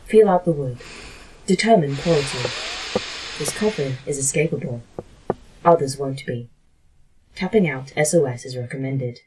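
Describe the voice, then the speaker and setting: Low voiced ship-style ai, dry n deadpan, kinda resigned, bit of background noise. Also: female voice, moderate pace, long pauses. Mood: instructing. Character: disembodied female facility A.I., formerly human, measured and resigned. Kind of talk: audio fiction drama acting.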